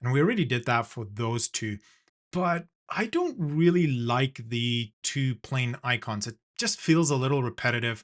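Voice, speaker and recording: A man's voice: mid-range voice, fairly bright tone. A man from South Africa narrating a screen-recorded design tutorial, talking casually and walking through each step with the odd filler.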